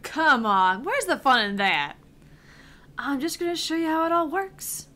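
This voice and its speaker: A woman's voice: high-pitched, big pitch swings, measured pace, bright, forceful tone, pitched above the performer's own voice, full character voice. A woman voicing a brash, cocky, foul-mouthed female game streamer in a visual novel, with taunting, theatrical delivery. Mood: boastful, eager. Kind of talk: visual novel read aloud in character.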